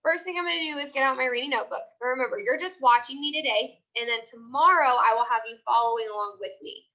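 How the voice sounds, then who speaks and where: A woman's voice: sits high, quick pace, sharp tone, highs cut off. A woman teacher giving a read-aloud lesson to third graders, emphatic and repeating her instructions.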